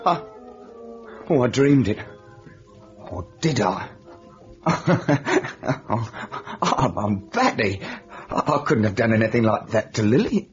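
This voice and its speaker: An actor playing an anxious, quick-tempered working man haunted by guilt, halting and agitated, in old radio drama style. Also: medium pitch, very animated, soft background music. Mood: panicky. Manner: agitated, halting, muttering to himself. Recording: dull old radio recording, faint noise.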